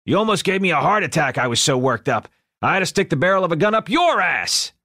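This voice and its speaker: A man voicing a loud, furious mob boss who rants and curses at full volume. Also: fairly high pitch, sing-song, quick pace, dry recording, clean studio sound, pitched above the performer's own voice, exaggerated voice. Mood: gleefully loud. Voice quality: shouting, explosive. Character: loud furious foul-mouthed older male mob boss.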